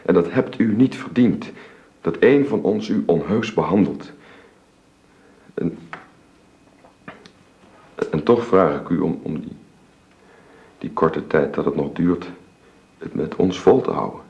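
An actor playing a guilt-ridden married gentleman in a radio drama, speaking formally and haltingly with repeated words. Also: sits low, lo-fi sound. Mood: grave, pleading.

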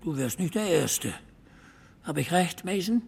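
A man's voice: fairly high pitch, lively intonation, measured pace, creaky, a little mellow, breathy edge, full character voice. A man acting in a German radio drama as a contrary, teasing small-town regular who needles and argues. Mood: needling. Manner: needling, argumentative.

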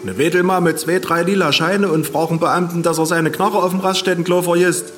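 An actor playing a sly, chatty snack-bar owner with slangy, colloquial speech in a radio drama. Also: male voice, sits high, fairly even intonation, a little fast, a bit bright. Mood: gossiping, knowing. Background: music behind.

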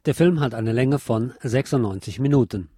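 A man's voice: mid-range voice, moderate pace, dark tone, breathy edge, no room sound. A man hosting a radio show, presenting in a partly scripted way.

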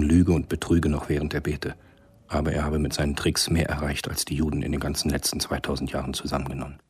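Very deep-voiced male narrator. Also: darkish tone, breathy edge. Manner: sober, matter-of-fact. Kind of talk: scripted radio drama narration.

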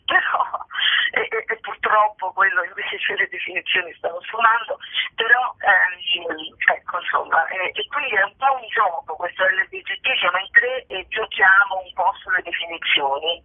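Heard through a thin, narrowband telephone connection, a woman talks informally as a guest being interviewed. Her pitch is middling for a woman. She speaks hesitantly, restarting phrases as she looks for the right word. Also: lively intonation, normal speed, husky, dry recording. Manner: hesitant, searching for words, repeating phrase starts. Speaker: guest, actress and co-author. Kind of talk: casual interview chat. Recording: tinny narrowband telephone line.

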